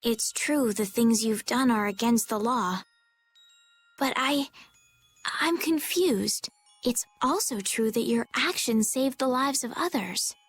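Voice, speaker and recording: A woman playing a gentle, sincere, idealistic young noblewoman in a dubbed anime game, polite and earnest. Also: a little high, animated, takes it slowly, slightly rough, full character voice. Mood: troubled but firm.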